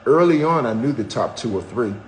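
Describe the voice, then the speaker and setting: A man's voice: mid-range voice, lively intonation, brisk, slight background noise, poor audio. A man in his seventies chatting candidly on a podcast, telling stories with wry, self-mocking jokes. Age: 70s. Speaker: podcast co-host, husband. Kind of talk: casual chat.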